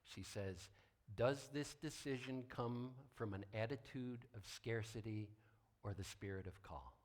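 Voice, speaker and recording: A man's voice: mid-range voice, unhurried. A man preaching an informal sermon as a pastor, conversational and folksy with wry asides. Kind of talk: informal sermon, partly read from notes.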